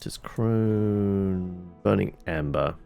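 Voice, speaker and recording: Gravelly, slow-talking man over game music. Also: sits low, warm tone. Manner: rambling, self-correcting, muttering while sorting inventory. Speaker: let's play host. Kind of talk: casual gameplay commentary.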